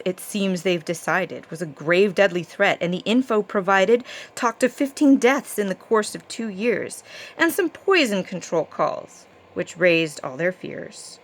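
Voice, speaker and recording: A woman's voice: mid-range voice, fairly bright tone. A woman reading her own rhyming verse aloud like a storybook tale, with a rhythmic, theatrical storytelling delivery.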